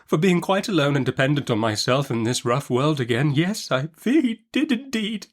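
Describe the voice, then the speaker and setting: A man's voice: on the high side, big pitch swings, moderate pace, pitched above the performer's own voice. A man voicing a meek, tearful young widowed woman in a classic novel audiobook, with a faltering, plaintive and deferential manner. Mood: wistful, tearful.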